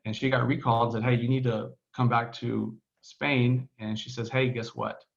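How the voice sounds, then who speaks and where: A man's voice: medium pitch, little pitch movement, moderate pace, gravelly, muffled recording. A man from Texas with a Texan accent, a podcast guest telling his story in a casual, rambling way with many restarts and fillers. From Texas, United States. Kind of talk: casual conversational interview. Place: home in Barcelona, on a remote call.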